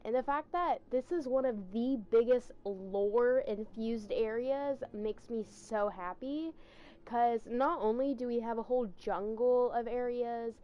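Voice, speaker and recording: A woman streamer giving casual game commentary in an enthusiastic, rambling, halting way. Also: a little high, dull audio.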